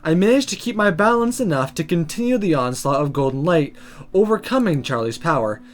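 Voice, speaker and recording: A man reading visual novel text aloud as dramatic, expressive first-person story narration. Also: on the high side, brisk.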